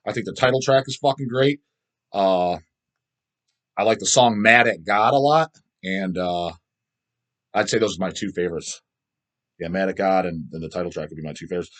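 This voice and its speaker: A man's voice: deep voice, normal speed, pauses often. A middle-aged man hosting a casual video, rambling through his opinions in a blunt, crude, emphatic way with heavy swearing. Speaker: host answering viewer comments.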